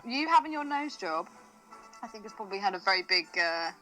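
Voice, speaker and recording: A woman's voice: medium pitch, lively intonation, fairly quick, pushed and bright, soft background music, rough recording. A woman co-hosting a podcast in casual chat, teasing and swearing freely as she banters. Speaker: podcast co-host.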